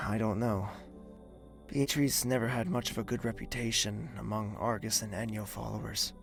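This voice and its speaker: A man's voice: on the low side, fairly even intonation, moderate pace, gravelly, a bit bright, soft background music. A man playing a tender, wistful young lover in an audio drama, speaking hesitantly and earnestly. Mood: wistful, gentle.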